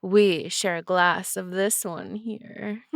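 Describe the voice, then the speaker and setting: A woman's voice: medium pitch, steady tone, vocal fry, no room sound, studio quality. A woman playing a sly, menacing female vampire villain who teases and mocks in an ASMR audio drama. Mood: sly, amused. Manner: teasing, sly, drawn-out delivery.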